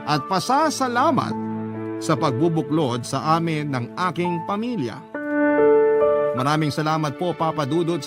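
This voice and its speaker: A Filipino man with a somewhat high voice narrates a radio drama in the first person. His storytelling is measured and sentimental, delivered over a loud music bed. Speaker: radio drama narrator reading a listener's letter.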